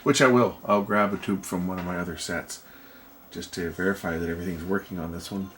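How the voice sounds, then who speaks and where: A middle-aged man giving casual, halting commentary to himself as he does hands-on repair work. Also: sits low, fairly even intonation, a bit bright, a little hiss or hum. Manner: halting, muttering to himself while working. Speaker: electronics repair vlogger. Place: indoor workbench.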